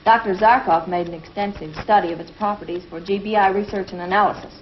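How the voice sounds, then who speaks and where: A woman playing an earnest, precise space crew scientist in 1950s television adventure style, with crisp delivery. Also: medium pitch, steady tone, quick pace, natural voice. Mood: serious, puzzled. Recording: dull, noisy 1950s TV film soundtrack.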